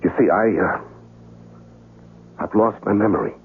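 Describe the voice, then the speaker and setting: A man playing an earnest, lonely amnesiac in a 1970s radio drama, speaking in a measured, reflective, hesitant way. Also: lowish voice, vocal fry, breathy edge, full character voice. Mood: troubled, confiding. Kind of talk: 1970s radio drama acting, narration and dialogue. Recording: muffled vintage radio recording, faint music.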